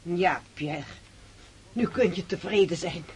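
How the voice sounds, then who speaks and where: Haughty, breathy-voiced bitter noblewoman. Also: fairly low pitch, takes it slowly, full character voice. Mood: cold, bitter. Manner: sharp, indignant. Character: bitter, haughty, spiteful Russian spinster noblewoman. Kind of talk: radio drama acting.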